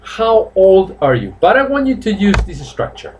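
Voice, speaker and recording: Teacher, fifties, higher voice, slow drills, webcam room with faint noise. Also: male voice, very animated, a little mellow. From Spain. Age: 50s. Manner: repeats phrases slowly for drilling, switches between English and Spanish. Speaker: English teacher. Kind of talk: live online class, bilingual English and Spanish. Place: small room at home.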